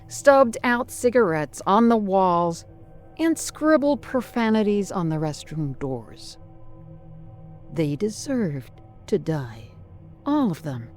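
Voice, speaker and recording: Slow, deliberate horror story narrator. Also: medium pitch.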